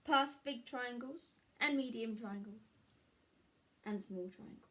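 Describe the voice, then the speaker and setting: English woman teacher, unhurried storytime reader, gentle and fairly high-pitched. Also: slight background noise. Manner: gentle, slow, asks the listening children questions. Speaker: primary school teacher. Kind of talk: reading a picture book aloud to children.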